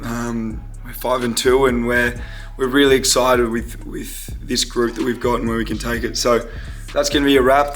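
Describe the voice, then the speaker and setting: A young Australian man with a hoarse, strained voice, chatting casually and upbeat in a game day vlog. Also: medium pitch, fairly bright tone. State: hoarse, voice partly lost. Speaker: vlogger and footballer.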